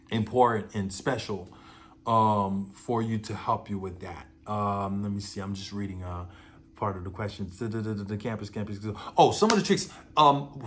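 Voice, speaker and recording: A man's voice: mid-range voice, a bit bright, a little hiss or hum. A man from Haiti, an actor, giving casual advice to theater students in a conversational way, with many fillers and repeated words, laughing easily.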